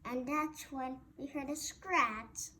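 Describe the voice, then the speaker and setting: A woman reading a rhyming children's Christmas story aloud, haltingly, often stumbling over and repeating words. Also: high voice, bright, forceful tone. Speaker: storybook reader. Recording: noticeable background noise.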